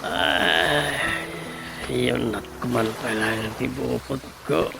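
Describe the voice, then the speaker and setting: An unhurried rural Ilocano fellow in a radio comedy drama. The voice is slow and full of fry, with music playing clearly behind it. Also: male voice, mid-range voice, poor audio. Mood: musing.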